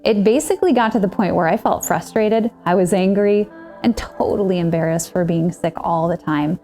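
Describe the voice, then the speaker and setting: A woman's voice: fairly low pitch, normal speed, dark tone, faint music behind. A woman in her thirties earnestly telling her own story as a client and coach, in a scripted testimonial. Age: 30s.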